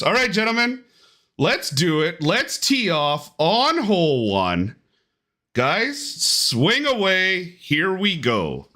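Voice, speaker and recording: A man's voice: a little high, bright, forceful tone. A man hosting and commentating a live online golf game tournament in upbeat play-by-play.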